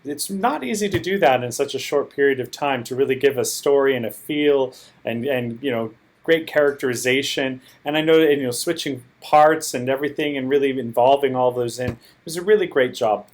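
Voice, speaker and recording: A man's voice: medium pitch, a little fast, hardly any pauses. A man who is a native English speaker, giving unscripted, encouraging feedback as a competition judge in a hesitant, rambling way.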